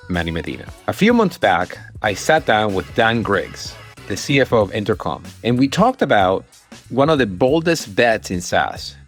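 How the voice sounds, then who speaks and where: A man hosting a podcast, talking in an enthusiastic, emphatic way as he introduces and interviews. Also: mid-range voice. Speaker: podcast host.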